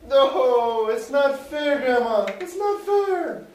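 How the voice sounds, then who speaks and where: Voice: high-pitched, expressive, slow pace, a little mellow. A performer playing a doting, melodramatic teenage devil grandson in a comic stage play, earnest and exaggerated. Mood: distraught. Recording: noisy echoey room, distant mic.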